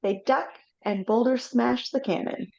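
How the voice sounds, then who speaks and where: A woman's voice: on the high side, measured pace, vocal fry, dark tone. A woman narrating a live read-through of a film script over a video call, reading steadily with small slips. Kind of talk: reading stage directions aloud. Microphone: computer mic on a video call. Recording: slightly dull video call audio.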